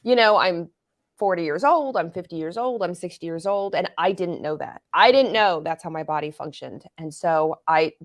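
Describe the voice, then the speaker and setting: A woman in her forties, a guest expert on an interview show, explaining things in a lively way with casual analogies. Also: fairly low pitch, normal speed. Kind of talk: interview answers.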